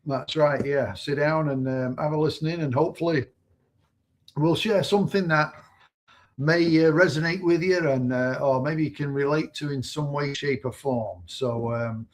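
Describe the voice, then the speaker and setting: A man's voice: fairly high pitch, moderate pace. A man from Manchester with a Mancunian accent, co-hosting a casual live stream in a rambling, conversational way. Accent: Mancunian English. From Manchester, England. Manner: conversational, rambling, uses fillers. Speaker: co-host.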